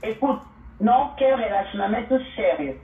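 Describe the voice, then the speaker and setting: A woman's voice: a little high, lively intonation, normal speed. A woman who grew up speaking Portuguese, calling into a talk show and speaking emphatically, repeating herself and exclaiming hallelujah. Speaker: caller. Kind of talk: opinionated phone-in talk. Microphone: phone line. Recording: tinny phone line, faint noise.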